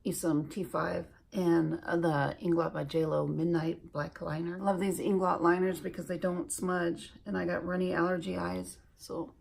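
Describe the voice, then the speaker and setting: A woman from Alaska chatting slowly and self-deprecatingly while doing her makeup for a beauty vlog. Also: on the low side, little pitch movement, gravelly, a little mellow, a little hiss or hum. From Alaska, USA. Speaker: beauty vlogger.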